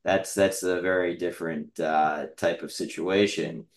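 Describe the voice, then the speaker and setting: A man in his thirties with a low voice and an unhurried pace. He talks like a podcast host in casual, reflective conversation, halting often as he works out each thought. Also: steady tone. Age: 30s. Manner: hesitant, halting, thinking aloud.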